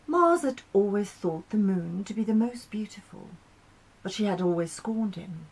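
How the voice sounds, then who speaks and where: Woman, storyteller, expressive narration, husky edge, mid-pitched. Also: moderate pace, poor audio. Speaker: author reading her own short story.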